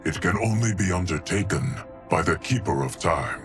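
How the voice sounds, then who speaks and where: Very deep, stoic male steward of time. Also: animated, faint music behind. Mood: solemn. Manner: formal, deliberate, stoic. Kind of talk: dramatic video game acting.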